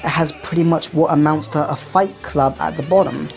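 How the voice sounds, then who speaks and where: A woman with a fairly low voice talks over faint background music. She delivers casual commentary on video games in a chatty, informal way, sharing opinions freely as the host. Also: steady tone, fairly quick, gravelly, a little mellow.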